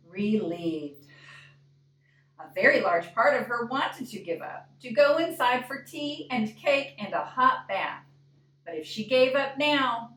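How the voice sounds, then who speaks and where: A woman reading a picture book aloud in an expressive storytime style, deliberate and often putting questions to young listeners. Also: mid-range voice, slight background noise. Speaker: librarian reading a storybook to children. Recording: echoey room, distant microphone.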